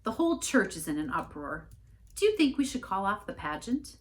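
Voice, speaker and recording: A woman's voice: mid-range voice, very animated, a little fast, voice pushed up, natural voice. A woman voicing a worried, perplexed male church minister in a storybook reading. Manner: fretful, perplexed.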